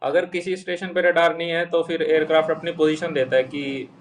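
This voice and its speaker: A young man giving an informal, halting explanation as an interview guest, rephrasing step by step. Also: fairly high pitch, level tone. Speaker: interview guest, junior air traffic controller. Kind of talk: informal explanation in Hindi mixed with English terms. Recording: voice distant from the microphone.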